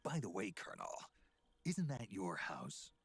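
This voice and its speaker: A male actor playing a gruff, world-weary old veteran soldier in a video game, with a growling, sardonic, terse delivery. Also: sits low, huge pitch swings, measured pace, full character voice. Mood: terse, probing. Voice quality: gravelly, growling. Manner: terse, dry, questioning.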